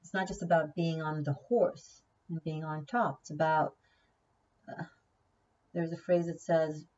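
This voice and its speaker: A woman's voice: deep voice, fairly even intonation, a little slow, darkish tone, recorded from a distance, dull audio. A woman whose first language is Hebrew, giving a casual tarot reading, chatty and thinking aloud, often repeating key words. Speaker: tarot reader.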